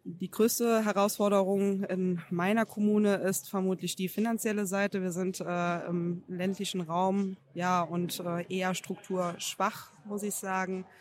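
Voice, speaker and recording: A woman's voice: mid-range voice, level tone, unhurried, few pauses. A woman in her thirties, a small-town mayor, answering interview questions matter-of-factly with some fillers. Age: 30s.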